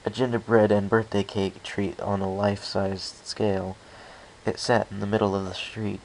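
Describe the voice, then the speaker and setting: A man's voice: sits low, vocal fry, poor audio. A man narrating a first-person story as an amateur audiobook reader, reading carefully with some stumbles. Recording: faint background hiss.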